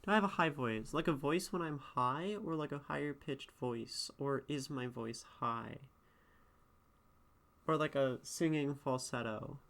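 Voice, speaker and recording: A woman's voice: rumbling low, measured pace, gravelly, a bit bright. A woman streamer chatting casually, reading and answering her chat in a thoughtful, rambling way with frequent restarts. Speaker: streamer and poetry critic.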